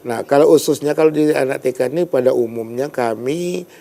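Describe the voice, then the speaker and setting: A man's voice: mid-range voice, a little fast, gravelly, mellow. A man who is a storyteller and teacher, explaining hesitantly in a TV studio interview and searching for words with many fillers.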